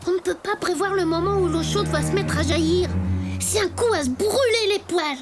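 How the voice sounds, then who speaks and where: A woman's voice: very high-pitched, normal speed. A woman voicing a cautious, sensible young animal cub in a French cartoon. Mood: worried. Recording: loud music behind.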